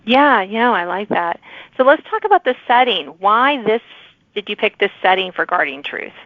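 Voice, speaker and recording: A woman's voice: mid-range voice, expressive, moderate pace, dry recording, phone-quality sound. A woman hosts an interview podcast in a mid-range voice. She asks friendly questions and responds with encouragement. The sound is dry, with very little room. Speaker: podcast host.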